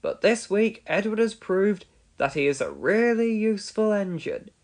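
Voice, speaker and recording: A man voicing a kindly, authoritative gentleman railway boss in a children's storybook, with measured reassurance. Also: sits high, expressive, pitched above the performer's own voice. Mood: pleased, praising.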